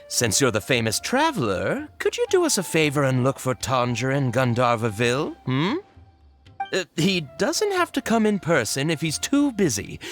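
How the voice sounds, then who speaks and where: Flustered shopkeeper, swooping pitch. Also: male voice. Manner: hesitant, pleading. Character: flustered, pleading toy shop worker. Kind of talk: anime video game voice acting.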